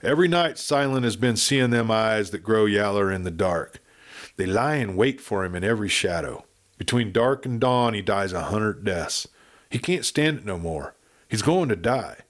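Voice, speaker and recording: A man's voice: on the low side, expressive, moderate pace, close to an everyday voice. Guy putting on an old cowboy dad, worried and tender, folksy western storybook voice. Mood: grave. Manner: folksy frontier speech. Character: worried old rancher father, fretful and tender.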